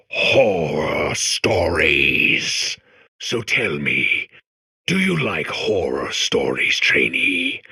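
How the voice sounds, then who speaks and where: A man voicing a grandiose horror-storytelling machine in a video game, raspy, pompous and melodramatic. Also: low-pitched, dry recording, heavily acted. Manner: melodramatic, pompous, swinging from hush to shriek. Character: theatrical horror-storytelling machine core, grandiose and self-important. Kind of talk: comedic video game horror-host acting.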